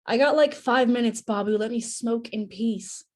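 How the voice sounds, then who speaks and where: A woman's voice: fairly high pitch, moderate pace, a little mellow, close to an everyday voice. A woman acting a blunt, unbothered twenty-five-year-old in a screenplay table read.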